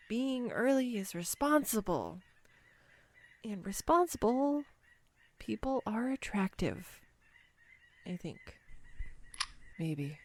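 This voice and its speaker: A woman playing a clumsy, nervous young woman on a first date in an audio roleplay, rambling and self-deprecating. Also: mid-range voice. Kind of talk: intimate audio roleplay monologue.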